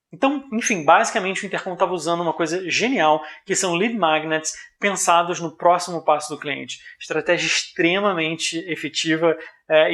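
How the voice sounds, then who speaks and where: A man's voice: fairly high pitch, a bit bright. A man with a Brazilian accent giving an informal business explanation in a didactic, conversational way, often checking in with the listener. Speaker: presenter of a business analysis video.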